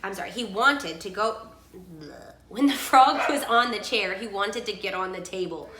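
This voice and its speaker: A woman reading a storybook aloud to a child in an expressive storytelling style, in an echoey room. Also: medium pitch, moderate pace, crowd noise.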